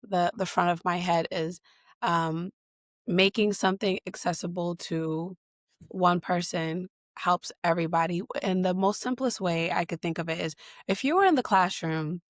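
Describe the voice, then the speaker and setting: A woman from Boston, a guest in an interview, answering in a conversational way with many pauses, fillers and restarts. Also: on the low side, no echo, clean studio sound. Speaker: guest, digital equity leader.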